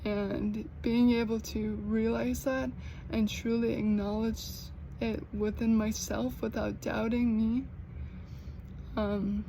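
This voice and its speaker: A young American woman who stutters, with repeated sounds and blocks, talking slowly and earnestly in a personal vlog. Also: a little high, little pitch movement, gravelly, slight background noise, low-quality recording. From United States. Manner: slow, earnest, gently encouraging. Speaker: vlogger.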